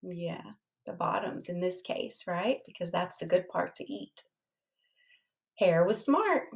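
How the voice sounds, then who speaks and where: A woman's voice: on the low side, lively intonation, takes it slowly, gravelly. A woman reading a storybook aloud to children as a teacher would, expressively, with asides and questions to the listeners.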